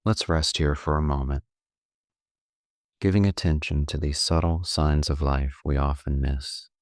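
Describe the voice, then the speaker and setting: Male meditation guide, extremely deep voice, dry studio-like sound, calm and gently instructive, taking his time between phrases. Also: dry recording. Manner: calm, unhurried, gently instructive with long pauses. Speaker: meditation coach.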